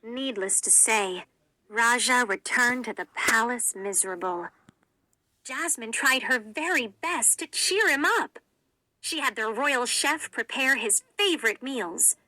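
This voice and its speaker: A woman reads a children's storybook aloud, expressively and very slowly. Her voice is fairly high and bright, recorded dry and close. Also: expressive, dry recording, low-quality recording. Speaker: storybook narrator. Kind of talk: children's read-along narration.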